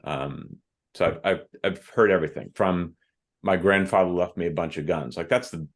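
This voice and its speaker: Deep-voiced man telling stories. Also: normal speed. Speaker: guest, author and firearms instructor. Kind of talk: podcast conversation, telling stories from classes.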